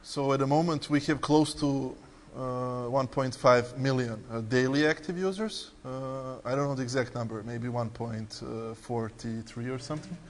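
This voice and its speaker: A man's voice: mid-range voice, steady tone, moderate pace, hardly any pauses. A man with a Serbian accent introducing his company on a panel, speaking fluently but with frequent "uh" fillers and restarts, recorded in a conference hall. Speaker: tech company CEO on a panel. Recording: hall reverb, faint background noise.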